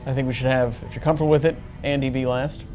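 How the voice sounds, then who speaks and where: A man's voice: mid-range voice, level tone, very fast, darkish tone. Man reasoning through a game plan. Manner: reasoning, persuasive. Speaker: tabletop role-playing player. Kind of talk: casual in-character group discussion. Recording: background music under the voice.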